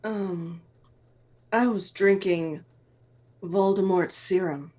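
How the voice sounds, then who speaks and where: A woman's voice: mid-range voice, slow pace, mellow. A woman playing a crude, weepy goth woman teacher in an amateur fan fiction reading. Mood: sulky, dramatic. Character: crying, potion-addicted goth woman teacher, crude-mouthed.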